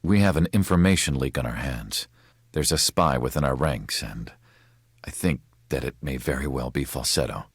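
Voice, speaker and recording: Game role: grave rebel leader, rock-bottom pitch, earnest measured delivery, dry studio sound. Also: male voice, husky, no room sound, heavily acted. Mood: grave, suspicious. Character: grave male rebel leader, sincere and troubled. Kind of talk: video game cutscene acting.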